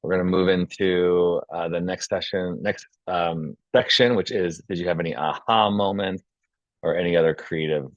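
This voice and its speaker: A man's voice: low-pitched, fairly even intonation, normal speed, no room sound. A man informally facilitating a group session as its moderator, inviting others to share. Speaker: workshop moderator. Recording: dry, dull lo-fi audio.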